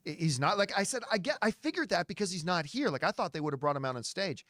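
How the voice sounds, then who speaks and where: Animated, higher-voiced man telling insider news. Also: fast talker, no room sound, clean studio sound. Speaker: entertainment news commentator. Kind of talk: animated storytelling talk to viewers.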